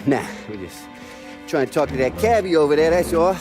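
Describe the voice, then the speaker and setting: An actor playing a sneering, threatening rival gang thug in a video game. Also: male voice, fairly high pitch, expressive, fairly quick, close to an everyday voice. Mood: guarded. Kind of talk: cinematic video game acting. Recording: music behind the voice.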